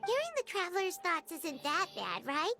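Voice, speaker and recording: A woman's voice: very high voice, wildly swooping pitch, moderate pace, full character voice. A woman voicing a tiny, nosy floating female companion who talks about herself in the third person, from a video game. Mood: teasing. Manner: bouncy, teasing, refers to herself in third person. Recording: game audio with clear background music.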